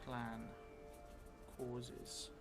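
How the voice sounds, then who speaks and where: A middle-aged man thinking aloud as he plays a solo roleplaying game, halting and trailing off as he goes. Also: medium pitch, completely flat delivery. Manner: halting, musing, trailing off as he writes. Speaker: solo tabletop roleplay streamer. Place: indoor theatre room, seated at a table. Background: music.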